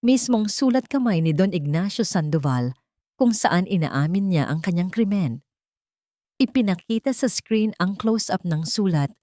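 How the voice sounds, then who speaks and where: Expressive low-voiced woman narrating. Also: dry recording, studio quality. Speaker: storyteller. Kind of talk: dramatic scripted story narration.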